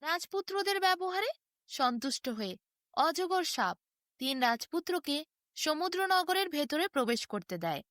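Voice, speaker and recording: A woman's voice: high voice, a bit bright, dry recording. Woman reading a fairy tale at bedtime, swoops up and down a lot, dry recording. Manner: sing-song storytelling with wide rises and falls. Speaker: storyteller.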